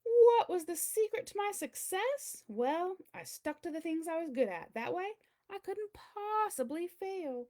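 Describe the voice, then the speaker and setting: A woman's voice: extremely high pitch, lively intonation, a little slow, mellow, pitched above the performer's own voice. A woman voicing a boastful, pompous chunk of cheddar cheese in a theatrical storybook read-aloud. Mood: smug. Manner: pompous, showy, theatrical delivery.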